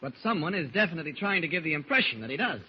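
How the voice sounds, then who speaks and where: A level-headed investigator hero in a 1940s radio serial, with a slightly high male voice. He is courteous but direct and probing, on a muffled recording with faint noise. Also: big pitch swings, very fast. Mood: blunt, insistent. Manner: polite but blunt, probing.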